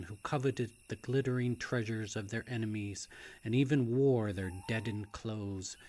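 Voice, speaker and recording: A man reading a novel aloud as a dramatic narrator, in a hushed, deliberate and suspenseful way that at times nears a whisper. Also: mid-range voice, a little hiss or hum. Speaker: author reading own novel. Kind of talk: dramatic audiobook-style story narration.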